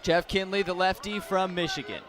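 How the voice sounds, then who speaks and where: A man calling baseball play-by-play live on the radio, phrasing deliberately and swelling on the big plays. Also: high-pitched, steady tone. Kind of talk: live radio sports commentary. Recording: noisy outdoor ballpark with crowd. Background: ballpark crowd noise.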